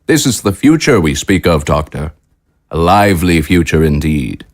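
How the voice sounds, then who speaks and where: An actor playing a grandiose, smoothly menacing government official in an audio drama, with formal, oratorical delivery. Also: male voice, deep voice, big pitch swings, moderate pace. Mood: grandly fervent. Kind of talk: scripted audio drama acting.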